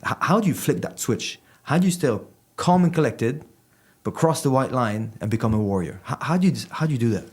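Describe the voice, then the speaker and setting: Fast-talking man hosting. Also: mid-range voice, slightly rough. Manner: quick, animated, rambling questions. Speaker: podcast host, former rugby player. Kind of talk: casual interview chat.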